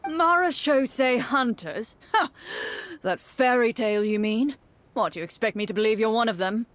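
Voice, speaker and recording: A woman voicing a stern, suspicious male guard captain in a video game, with a gruff, commanding, challenging manner. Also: a little high, moderate pace, lightly acted. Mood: scornful. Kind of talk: video game tabletop roleplay acting.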